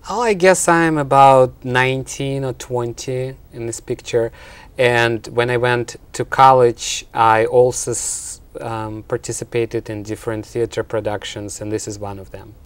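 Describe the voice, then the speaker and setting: A man's voice: medium pitch, fairly even intonation, measured pace, clear, resonant tone. A man from Russia with a Russian accent, answering interview questions in an explanatory way, as a college instructor. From Russia and Ukraine.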